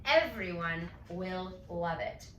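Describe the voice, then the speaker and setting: Woman reading as a gentle mother, roomy sound. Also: on the low side, animated, takes it slowly, pitched below the performer's own voice. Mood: reassuring. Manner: gentle, reassuring. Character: loving, reassuring mother of a young girl. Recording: roomy, distant mic, faint noise.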